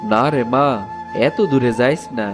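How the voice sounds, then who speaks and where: A man's voice: medium pitch, measured pace, over music, natural voice. A man voicing a sorrowful, sickly, doting village father in a cartoon, fretful and plaintive, with a rural eastern Bengali accent. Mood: worried. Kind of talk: Bengali animated fairy-tale voice acting.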